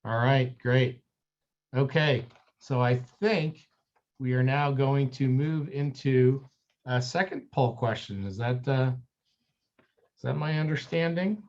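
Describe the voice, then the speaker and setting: A man hosting a webinar on a video call. He reads out a long guest introduction in a friendly, slightly halting way. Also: mid-range voice, darkish tone, dull audio. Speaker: webinar moderator.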